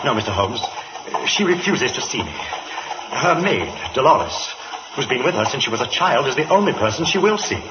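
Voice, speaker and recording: An anxious, distraught gentleman of middle age, played by a man, speaks hesitantly and theatrically. His pitch swings about, on a dull and noisy vintage radio recording. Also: sing-song, normal speed, natural voice. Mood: troubled. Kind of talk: old-time radio drama acting.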